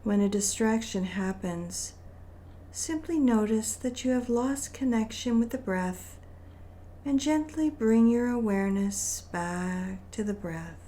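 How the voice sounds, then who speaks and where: Slow, mellow woman guiding a meditation. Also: on the high side, animated, slight background noise. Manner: gentle, soothing, deliberate phrasing with long pauses. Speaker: meditation guide. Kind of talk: guided meditation narration.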